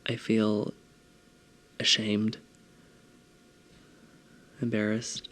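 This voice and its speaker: A man with a soft, feminine-sounding voice performing a theatrical confessional monologue, mostly quiet and measured. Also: lowish voice, completely flat delivery.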